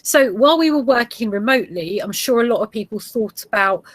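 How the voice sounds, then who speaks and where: A woman in her forties, a geography teacher with a Gujarati heritage, explaining ideas to other teachers in a steady way with small fillers. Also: fairly high pitch, brisk, no echo. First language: Gujarati heritage, English now first. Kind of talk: presentation to teachers.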